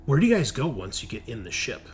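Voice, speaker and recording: A man improvising narration as game master of a tabletop game, often halting to search for words. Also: mid-range voice, huge pitch swings. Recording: noisy room recording.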